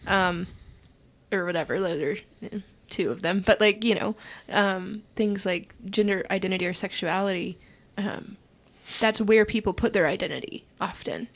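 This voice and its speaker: A woman in her twenties with a soft, breathy voice, a podcast guest who talks hesitantly and searches for her words in casual chat. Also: mid-range voice, moderate pace. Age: 20s. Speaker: podcast guest, stage performer.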